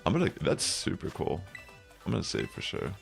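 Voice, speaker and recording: Streamer: young man, bassy low voice, rambling casual talk, gravelly edge, faint music under him. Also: wildly swooping pitch, a little fast. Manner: rambling, many fillers. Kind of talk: casual chat while gaming.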